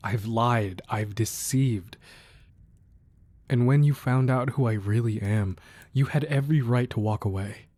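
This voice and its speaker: A man acting a gruff but tender, protective crime boss husband in an intimate, soft-spoken ASMR romance roleplay. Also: mid-range voice, measured pace, no room sound, natural voice. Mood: remorseful, confessional. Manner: soft, intimate, affectionate murmur.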